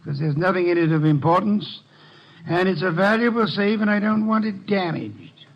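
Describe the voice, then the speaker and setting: High-voiced, lordly radio detective. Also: male voice, vocal fry. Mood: calm reproach. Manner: formal, measured, drily amused. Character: shrewd, unruffled, pompous older male detective, lordly and dryly amused. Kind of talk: old-time radio drama acting. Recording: muffled old radio broadcast recording.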